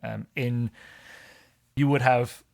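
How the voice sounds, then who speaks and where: A man from Hong Kong, a podcast guest in casual conversation, explaining things in a measured way. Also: medium pitch, steady tone, gravelly.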